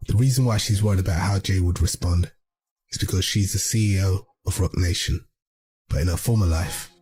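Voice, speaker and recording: A man's voice: sits low, mellow, slightly rough. A man giving scripted, explanatory video commentary. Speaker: narrator of a video essay.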